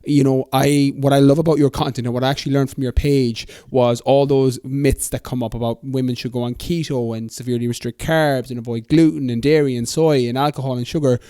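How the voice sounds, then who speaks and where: A man hosting a podcast interview who is losing his voice from a cough and asks quick, appreciative questions. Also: mid-range voice, steady tone, warm tone, clean tone, no echo, clean studio sound. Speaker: podcast host.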